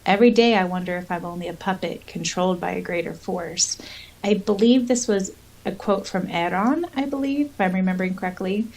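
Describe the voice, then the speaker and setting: A woman's voice: fairly low pitch, a little fast, darkish tone, poor audio. A woman co-hosting a podcast, discussing a story casually and reading passages from it aloud. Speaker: podcast co-host and audiobook narrator.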